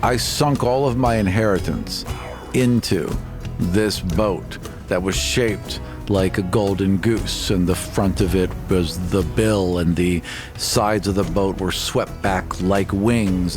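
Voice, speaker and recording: A man playing an aggrieved, proud merchant ship captain in a tabletop game, speaking earnestly and dramatically. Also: on the low side. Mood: wounded pride. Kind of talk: improvised tabletop roleplay.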